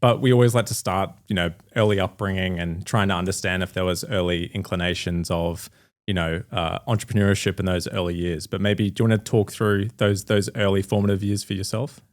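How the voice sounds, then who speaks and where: A man hosts a podcast interview, easing into his questions with plenty of fillers. His voice sits a little low. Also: fairly quick. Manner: relaxed, filler-heavy questioning. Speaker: podcast host.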